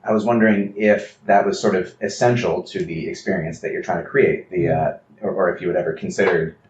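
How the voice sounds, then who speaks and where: Low-voiced male interviewer in a roomy space. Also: fairly even intonation, brisk. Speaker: podcast interviewer. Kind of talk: considered interview questions. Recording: roomy, slightly muffled, faint noise.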